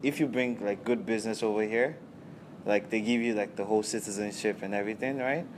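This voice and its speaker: A young man from Nigeria, a university student answering interview questions in a relaxed, casual way, often checking in with "right?". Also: fairly low pitch, a little fast, background noise. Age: young adult. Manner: relaxed, tags sentences with "right?".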